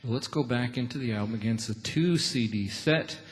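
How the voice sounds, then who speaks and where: A man's voice: medium pitch, brisk, breathy edge. A man hosting a live radio show, interviewing and announcing from a theatre stage.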